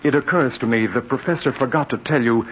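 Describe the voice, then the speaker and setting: An actor playing an earnest, persuasive scientist's assistant in a 1940s radio drama, formal and insistent. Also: male voice, medium pitch, a little fast, lightly acted. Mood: urgent, persuasive. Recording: muffled, noisy 1940s radio recording.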